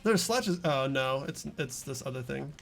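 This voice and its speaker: A man's voice: medium pitch, lively intonation, normal speed, vocal fry, music in the background. A man hosting a group video game playthrough, switching between casual chat and reading game text aloud.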